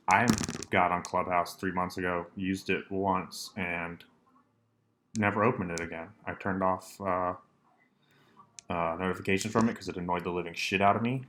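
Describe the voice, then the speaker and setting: A young man hosting his own solo podcast, rambling casually and deadpan with lots of ums and asides. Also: low voice, slight background noise.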